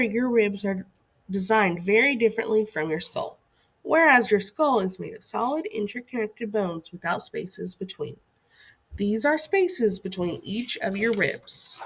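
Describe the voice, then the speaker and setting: Lively woman teacher with a creaky edge. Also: mid-range voice, a little slow. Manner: instructive, with questions put to listeners. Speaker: teacher reading a lesson aloud. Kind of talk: scripted read-aloud lesson for young children.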